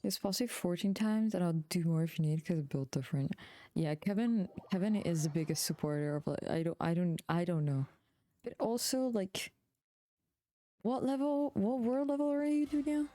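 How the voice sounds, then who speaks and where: A woman's voice: mid-range voice, moderate pace, mellow, dry recording. A woman chatting casually on a live game stream, informal and slangy with some swearing. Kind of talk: casual gaming stream chat with viewers.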